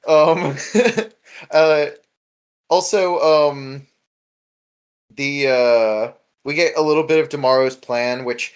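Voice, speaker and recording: A man's voice: on the high side, steady tone, slow, long pauses, clear, resonant tone. A man hosting a casual podcast chat, talking in a rambling, informal way with lots of filler words. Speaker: podcast host. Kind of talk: casual podcast discussion of a webcomic.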